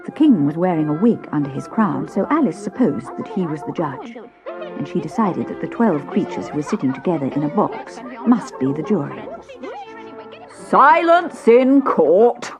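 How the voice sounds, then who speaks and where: A woman's voice: deep voice, sing-song, a little fast. A woman, reading a children's storybook expressively. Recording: cassette storybook with faint music.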